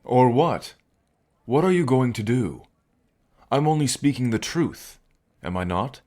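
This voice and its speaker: A man playing a composed, needling Norse hunter god in a fantasy audio roleplay, measured and sardonic. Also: mid-range voice, big pitch swings, a little mellow.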